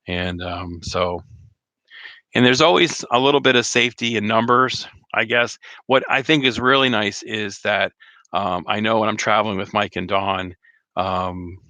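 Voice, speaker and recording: A slightly hoarse man chatting casually on a live stream, rambling with many fillers and restarts. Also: on the low side, normal speed, sharp tone, no room sound. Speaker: RV vlogger hosting a live chat.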